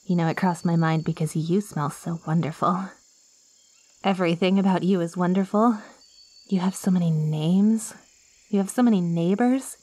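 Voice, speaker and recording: A woman's voice: mid-range voice, darkish tone, dry recording, lightly acted. A woman playing a lonely, possessive plant-girl monster in an ASMR roleplay, coaxing and teasing the listener in a theatrical way. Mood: sweet, coaxing, then adoring, possessive. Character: lonely, possessive yandere plant girl, ancient yet girlish.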